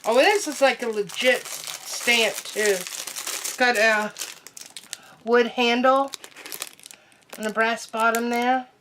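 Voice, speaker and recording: A young woman chatting casually through an unboxing while sick, her voice nearly gone, excitable and full of ums. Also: a little high, takes it slowly, long pauses, slight background noise. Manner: excitable, many ums and trailing asides. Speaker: unboxing vlogger.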